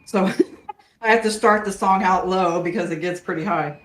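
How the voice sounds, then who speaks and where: A woman's voice: fairly low pitch, normal speed, a bit bright, reverberant. An older woman, chatting earnestly while she cooks at home and drifting into preaching. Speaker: host. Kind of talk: casual chat while cooking. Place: home kitchen.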